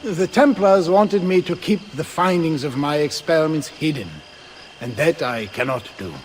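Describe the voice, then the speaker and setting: Man playing a high-voiced earnest Renaissance scholar. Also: moderate pace, slight background noise, lightly acted. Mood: wary, puzzled. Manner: philosophical, lecturing, formal. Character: earnest, questioning Renaissance astronomer, male Prussian scholar. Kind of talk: video game voice acting.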